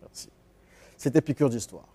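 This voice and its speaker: A man's voice: medium pitch, normal speed, warm tone, breathy edge. Courteous Congolese radio host, man. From Republic of the Congo. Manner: courteous, hesitant with fillers. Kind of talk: radio interview.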